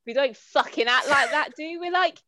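A woman's voice: high-pitched, normal speed, sharp tone. A woman co-hosting a casual podcast, blunt and sarcastic, swearing casually. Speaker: podcast co-host. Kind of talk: casual chat among friends.

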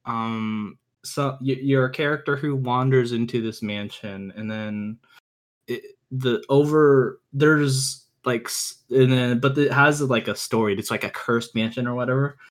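Earnest, halting man on a podcast. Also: mid-range voice, fairly even intonation. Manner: earnest, opinionated, halting as he searches for words. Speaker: podcast guest, visual novel enthusiast. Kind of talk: casual podcast chat.

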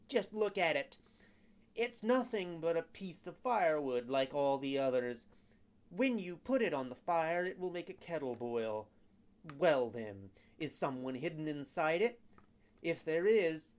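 A man's voice: sits high, muffled recording, voice pushed up. A man voicing a frightened old carpenter who trembles and stutters.

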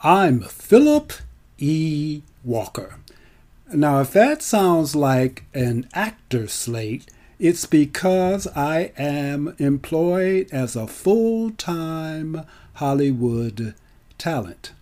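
A man in his seventies telling his own story on mic in a theatrical, emphatic way, enunciating deliberately. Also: on the high side, big pitch swings, dark tone. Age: 70s. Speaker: featured storyteller and actor. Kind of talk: autobiographical storytelling to an audience on mic.

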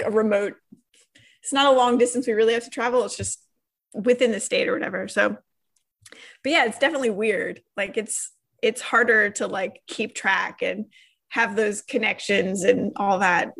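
A woman hosting a casual interview, talking loosely with many fillers and quick laughs. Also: mid-range voice, steady tone, gravelly, fairly bright tone. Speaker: host, interviewer.

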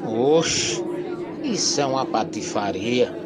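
A man's voice: medium pitch, lively intonation, takes it slowly. A man playing a snobbish, indignant rich major in a radio soap opera, with a pompous, haughty manner. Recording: loud outdoor-like crowd din behind.

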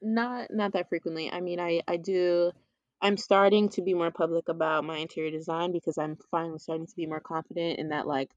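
A woman's voice: lowish voice, flat delivery, brisk, dry recording, lo-fi sound. A woman co-hosting a casual conversation, talking openly and reflectively with frequent restarts.

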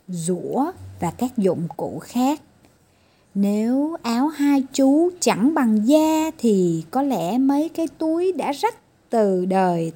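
A woman's voice: on the high side, warm tone, husky. A woman storyteller reading a children's fairy tale as scripted narration.

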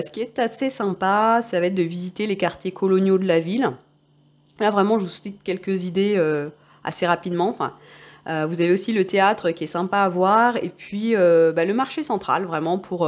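A woman giving casual travel advice, pausing often with filler sounds. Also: mid-range voice, a little mellow. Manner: hesitant, many filler sounds. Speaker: travel advisor.

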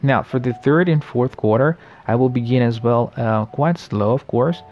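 A man's voice: mid-range voice, darkish tone. Friendly late-20s male podcast host. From the Americas. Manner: friendly, reflective, often pausing with fillers and restarts. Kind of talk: casual solo podcast monologue.

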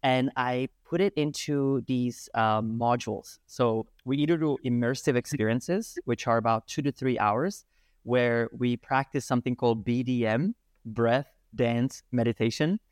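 A man's voice: medium pitch, measured pace, clean tone, dry recording. Man telling his story. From Czech Republic. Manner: animated, hesitant with many fillers. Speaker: guest, breathwork and movement teacher. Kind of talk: casual storytelling interview.

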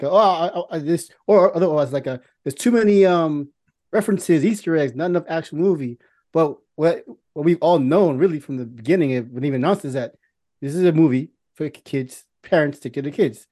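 A man co-hosting a casual podcast, talking in a halting, rambling and informal way with some swearing. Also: on the high side, dark tone. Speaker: podcast co-host.

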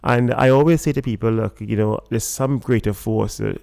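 A Trinidadian man with a low voice full of gravelly fry. He talks in a loose, unhurried interview style and keeps stopping to search for words. His lower register adds to the relaxed feel. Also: dry recording, clean studio sound. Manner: hesitant, many fillers and restarts. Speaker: guest. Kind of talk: casual interview talk.

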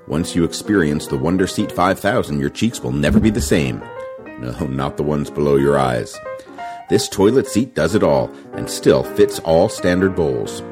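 A man playing a big, folksy plumbing supply salesman with deadpan patter in a spoof radio ad. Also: a bass voice.

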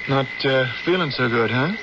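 An actor playing an earnest young doctor fresh out of medical school in a radio drama, polite, mild and tentative. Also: male voice, mid-range voice, moderate pace, close to an everyday voice. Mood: tentative, concerned. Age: young adult. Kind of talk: old-time radio drama acting. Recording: noisy, muffled old radio recording.

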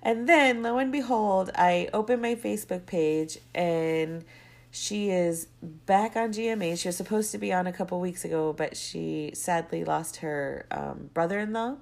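A young woman with a low-pitched, congested voice hosts her own podcast. She talks casually and rambles, drifting into side stories before circling back to her point. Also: unhurried, gravelly, a little mellow. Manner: rambling, goes off on tangents. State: stuffy, congested with a cold. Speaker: podcast host. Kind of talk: casual solo podcast monologue.

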